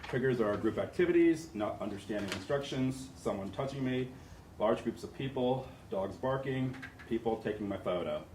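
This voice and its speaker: A man's voice: lowish voice, expressive, moderate pace, darkish tone. A man taking part in a training, reading a first-person character profile aloud to the group. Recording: roomy, distant mic, faint noise.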